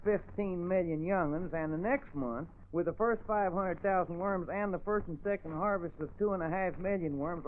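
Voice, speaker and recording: An actor playing a gullible, apologetic country husband and farmer with a Southern accent, earnest and polite, in old radio drama style. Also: male voice, high voice, a little hiss or hum. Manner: earnest, slow-witted, polite, folksy. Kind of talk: 1950s radio drama acting. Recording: tinny old radio broadcast recording.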